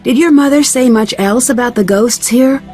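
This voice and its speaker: Actress as young girl detective in a mystery game, higher pitched, polite n curious, music behind. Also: moderate pace, darkish tone, natural voice. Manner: inquisitive, polite, clearly spoken. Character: young female amateur detective, inquisitive and earnest. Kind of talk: video game voice acting.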